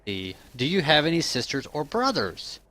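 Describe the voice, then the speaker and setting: A man's voice: a little high, big pitch swings, bright, forceful tone, dry recording. A man in his thirties from Kansas, easygoing in casual live-stream chat, reading out questions with plenty of um and uh. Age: 30s. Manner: easygoing, drawn-out fillers and quick chuckles.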